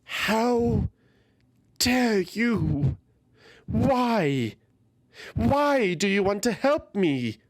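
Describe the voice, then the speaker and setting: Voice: medium pitch, very animated, very slow, pauses often, pitched above the performer's own voice, heavily acted. A narrator voicing an anguished young feathered warrior woman in a fantasy audio story, impassioned and pleading, full of despair and self-loathing. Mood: wounded anger.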